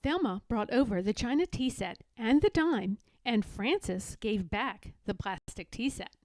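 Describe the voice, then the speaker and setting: A woman's voice: on the high side, expressive, unhurried, no echo, studio quality. A woman narrating a children's storybook expressively as a read-aloud storyteller.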